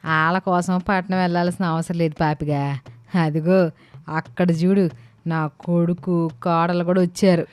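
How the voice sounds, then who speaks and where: A woman's voice: fairly low pitch, level tone, a little slow, a little mellow, clear, resonant tone, dry recording, natural voice. A woman playing a bossy, sensible older village aunt who talks in colloquial, scolding, speech. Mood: familiar, breezy. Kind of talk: animated moral-story dialogue.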